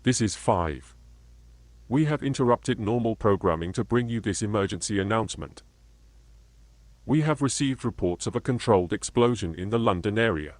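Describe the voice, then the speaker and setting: A man reading a scripted emergency broadcast announcement in a formal, measured manner, as a continuity announcer. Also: fairly low pitch, no echo.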